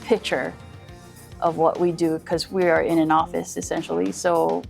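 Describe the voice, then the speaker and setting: A woman's voice: on the low side, little pitch movement, moderate pace, vocal fry, darkish tone, faint music behind. A woman working as an emergency dispatcher, talking informally in an on-camera interview.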